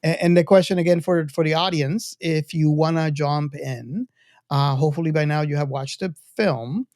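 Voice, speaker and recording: A podcast host talking casually about film, with a halting, hesitant way of speaking. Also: medium pitch, darkish tone, no echo, studio quality.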